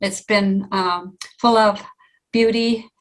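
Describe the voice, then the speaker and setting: A woman hosting an online event informally, speaking haltingly with pauses between phrases. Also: mid-range voice, fairly even intonation. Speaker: host of a book launch.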